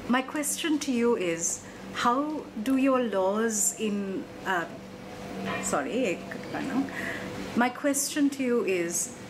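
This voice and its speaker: A middle-aged woman with a Sri Lankan accent, hosting a formal book interview in a measured, articulate way. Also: on the high side, lively intonation, background noise. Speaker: television interview host. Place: indoor room, seated.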